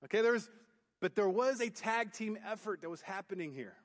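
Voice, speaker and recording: Booming preacher man in a reverberant church. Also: brisk. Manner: booming, animated preaching that drops to quiet emphasis. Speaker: youth pastor. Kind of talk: sermon. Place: church sanctuary. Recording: long hall reverb. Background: hall reverb.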